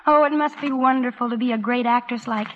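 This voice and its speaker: High-pitched, gushing woman on old radio. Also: steady tone, quick pace. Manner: gushing, admiring. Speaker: guest in a sponsor's advertising segment. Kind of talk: scripted 1940s radio ad dialogue. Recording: muffled, faintly noisy vintage radio broadcast.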